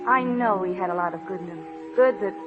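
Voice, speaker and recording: A woman's voice: mid-range voice, a little fast, natural voice. An actress playing a timid, dreamy young woman who speaks hesitantly and earnestly in a 1930s radio drama. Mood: wistful. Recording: muffled old radio recording with music.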